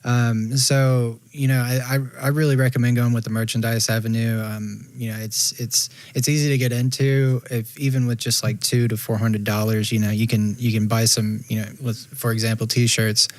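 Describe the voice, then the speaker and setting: A man's voice: mid-range voice, flat delivery, no room sound. Guy on a panel giving tips, lots of you-knows, dry close sound. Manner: halting, lots of "you know" fillers. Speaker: panel guest. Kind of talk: casual advice chat.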